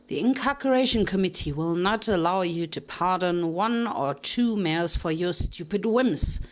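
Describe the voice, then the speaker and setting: A woman voicing a stern, grumbling male pony advisor in a storybook reading, speaking slow and lowered. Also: animated.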